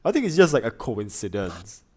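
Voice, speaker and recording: A man's voice: on the low side, wildly swooping pitch, normal speed, darkish tone, breathy edge. A man streaming a casual reaction, thinking aloud with lots of fillers and restarts. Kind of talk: casual chat.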